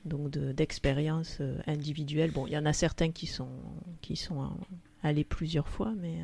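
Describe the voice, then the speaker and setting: A man's voice: fairly high pitch, flat delivery, moderate pace, creaky, darkish tone. A man hosting a radio discussion, prompting guests and guiding the conversation. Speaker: radio host.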